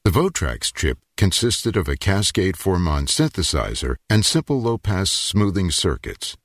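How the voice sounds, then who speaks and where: Older male narrator, measured and explanatory, lively pitch, dry close sound. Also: no room sound. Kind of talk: scripted documentary narration.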